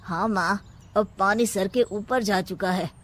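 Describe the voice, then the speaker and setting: A woman's voice: mid-range voice, unhurried, a bit bright, voice pushed down. A woman voicing a frustrated, principled adult son in a cartoon moral story. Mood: firm, fed up. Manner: firm, reproachful.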